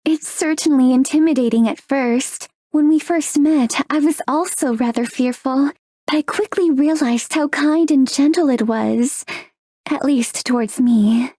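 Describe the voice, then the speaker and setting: A woman playing a gentle ghostly young woman in a visual novel, soft-spoken, formal and measured. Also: sits high, no echo, studio quality. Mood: calm, kindly.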